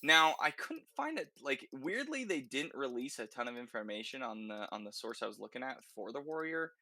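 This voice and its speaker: Bright-voiced man chatting casually on a podcast. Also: medium pitch, very animated, moderate pace, no echo. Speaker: podcast co-host presenting game details. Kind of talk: casual podcast discussion of a video game.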